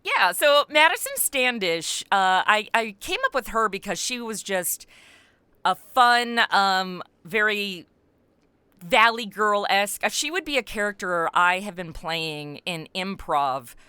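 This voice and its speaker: Bright, clear-voiced American woman chatting animatedly. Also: mid-range voice, unhurried, dry recording. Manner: animated, informal, peppered with like and you know. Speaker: interview guest, comedy podcast writer and voice actor. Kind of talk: casual interview chat about own creative work.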